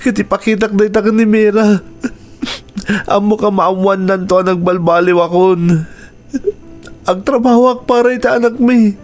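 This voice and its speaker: A man's voice: high voice, steady tone, moderate pace, pauses often, natural voice. A man acting a remorseful husband whose wife has left him, in an Ilocano radio drama with an Ilocano accent. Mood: remorseful, pleading. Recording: radio drama with music underneath.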